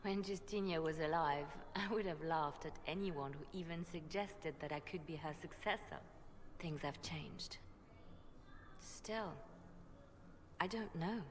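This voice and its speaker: A woman playing a grave, thoughtful church adviser in a fantasy game, speaking in a measured, earnest way. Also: deep voice. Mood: pensive. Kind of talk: scripted fantasy game dialogue. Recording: long hall reverb, faint noisy ambience.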